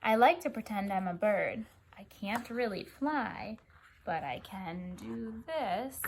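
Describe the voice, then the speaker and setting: An American woman reading a picture book aloud for children and chatting gently, often prompting a young child with questions. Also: mid-range voice, lively intonation, slow pace, vocal fry, a little hiss or hum. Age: adult. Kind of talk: children's story read-aloud with gentle chat.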